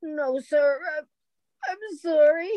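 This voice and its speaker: A woman's voice: sits high, slow pace, mellow, no echo. A woman playing a devoted, meek secretary to a private detective in 1940s radio style, eager and deferential. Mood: meek, concerned.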